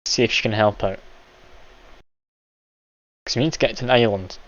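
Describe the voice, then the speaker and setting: A man's voice: lowish voice, fairly even intonation, moderate pace, fairly bright tone. A man streaming a game live, chatty and self-deprecating, laughing often as he plays. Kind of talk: casual live game commentary. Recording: dull lo-fi stream audio, game music behind.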